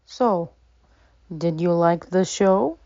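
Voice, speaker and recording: A woman's voice: on the low side, slow, dark tone, no room sound. A woman playing a genial, insistent theater owner in an audio drama, persuasive, on a dull recording.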